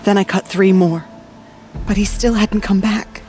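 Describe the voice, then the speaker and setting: Woman acting an anxious, faltering tribeswoman over soft music. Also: medium pitch, fairly even intonation, unhurried, natural voice. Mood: sorrowful. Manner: hesitant, earnest, faltering. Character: anxious, grieving sister, timid tribeswoman, pleading. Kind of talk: video game voice acting. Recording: music under the voice.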